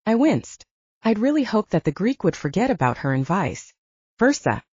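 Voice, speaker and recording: Husky woman narrating fiction, dull sound. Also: medium pitch, sing-song, moderate pace, a little mellow, no room sound. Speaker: audiobook narrator. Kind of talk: scripted first-person fiction narration with character dialogue.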